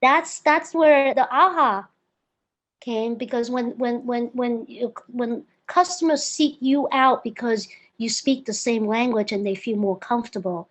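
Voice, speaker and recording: Woman, fifties, eager storyteller, interview guest, somewhat high voice. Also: measured pace. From born in Hong Kong, raised in the United States. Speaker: guest, real estate broker. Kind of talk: conversational interview.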